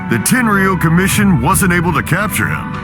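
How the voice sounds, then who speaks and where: A man's voice: sits high, big pitch swings, moderate pace. An actor voicing an old, kindly father who is hard of hearing, worried and apologetic, in a game. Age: older. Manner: worried, apologetic, tired sighs. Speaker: game character. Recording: game audio with music behind.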